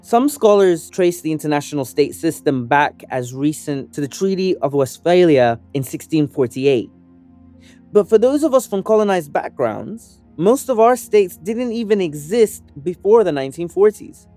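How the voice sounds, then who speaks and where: Earnest man narrating a measured essay. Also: a little high, lively intonation, warm tone, faint music behind. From Sudan. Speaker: organizer and activist. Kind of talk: scripted first-person essay narration.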